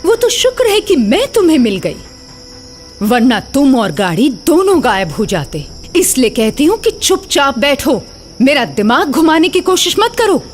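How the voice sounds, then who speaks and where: Give this a high, lively female voice acted by a woman: a menacing ghost hitchhiker from a horror cartoon. She is commanding and insistent, with swooping pitch over background music. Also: a little slow. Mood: bossy, menacing. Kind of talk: Hindi animated horror story voice acting.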